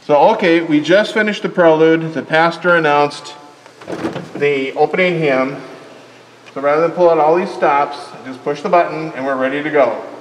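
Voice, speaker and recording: Older guy explaining organ buttons, slightly high voice, long church echo, faint room hum. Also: moderate pace. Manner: plain, patient step-by-step explaining. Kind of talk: casual explanation. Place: large church sanctuary. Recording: reverberant church hall with faint ambience.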